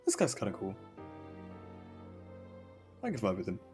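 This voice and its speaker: A man's voice: lowish voice, wildly swooping pitch, normal speed, a little mellow. A young man giving casual, rambling, hesitant commentary while streaming a game. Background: faint game music.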